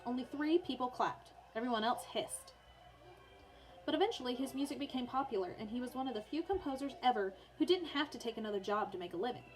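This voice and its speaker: A woman's voice: a little high, darkish tone, low-quality recording. A woman teacher reading a children's biography aloud for online learners, in storybook narration with informal, chatty asides.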